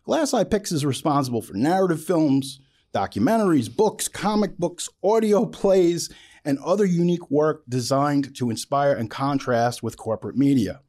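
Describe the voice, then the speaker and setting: A man's voice: a little high, expressive, moderate pace, a little mellow. A man hosting an interview show, effusive and reverent, speaking with dramatic emphasis and enthusiasm. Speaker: podcast host. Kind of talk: enthusiastic interview with a prepared introduction.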